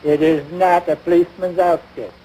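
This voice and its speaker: A man's voice: on the high side, fairly even intonation, moderate pace, dark tone, lightly acted. An actor playing a pompous, long-suffering American husband and father in a domestic comedy, speaking formally with dry sarcasm. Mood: testy. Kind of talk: domestic radio-style situation comedy. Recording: noisy vintage broadcast recording.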